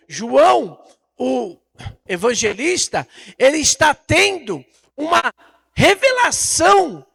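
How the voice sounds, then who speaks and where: A man's voice: very high voice, creaky, sharp tone. A Brazilian man preaching a Bible lesson in an emphatic, didactic way, repeating phrases and posing rhetorical questions. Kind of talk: Bible teaching sermon.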